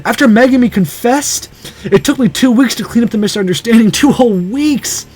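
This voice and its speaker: A man's voice: very high-pitched, brisk, a little mellow, slightly rough, voice pushed up. A man voicing a hot-headed teenage boy hero in a visual novel, anguished, indignant and defiant. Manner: emotional, indignant outbursts.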